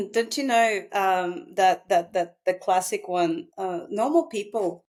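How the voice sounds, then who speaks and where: A woman with a mid-range voice talks in a reflective, unscripted conversation. She feels her way through each thought haltingly, as a therapist exploring ideas out loud. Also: medium pitch. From Russia. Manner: halting, thinking aloud, frequently laughing. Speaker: psychotherapist guest.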